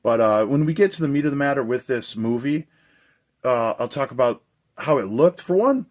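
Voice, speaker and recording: A young man with a slightly hoarse voice, chatting casually and enthusiastically as he reviews a movie release for his video channel. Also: medium pitch, lively intonation, moderate pace.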